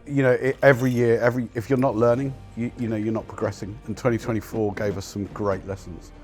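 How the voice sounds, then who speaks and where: A man's voice: medium pitch, little pitch movement, fast, over music. A man speaking as team manager in a documentary interview, upbeat and enthusiastic about his team.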